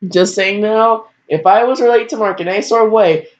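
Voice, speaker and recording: A woman's voice: mid-range voice, a little fast. A teenage girl casually reading aloud and rambling off on goofy, self-deprecating asides. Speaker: fan fiction reader. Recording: dull lo-fi microphone.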